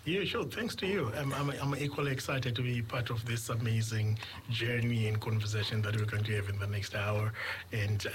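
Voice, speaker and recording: A middle-aged man answers radio interview questions, fairly low in pitch. He speaks earnestly but hesitantly, doubling back and repeating words as he gathers his thoughts. Faint room ambience sits quietly behind his voice. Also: background noise. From Zimbabwe. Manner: earnest, halting, repeats words while thinking. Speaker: radio guest.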